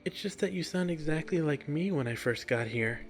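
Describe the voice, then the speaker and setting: A man's voice: on the high side, animated, moderate pace, faint music behind, voice pushed up, close to an everyday voice. A man voicing a friendly, curious young bookish woman in a story reading, given to puzzled questions. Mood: warm, coaxing. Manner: thinks aloud in puzzled questions.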